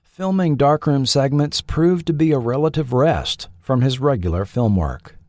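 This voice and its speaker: A man narrating a scripted documentary in a measured, formal storytelling manner. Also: medium pitch, lively intonation, darkish tone, dry recording, studio quality. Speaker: podcast host and narrator.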